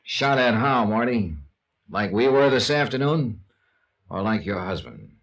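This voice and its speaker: A man's voice: on the low side, very animated, a little slow, gravelly, exaggerated voice. An actor playing a shrewd, sardonic male investigator in a 1950s radio detective drama, with clipped, probing delivery. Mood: dry, sardonic. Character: shrewd, wry male insurance investigator, hard-boiled sleuth. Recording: vintage radio drama recording.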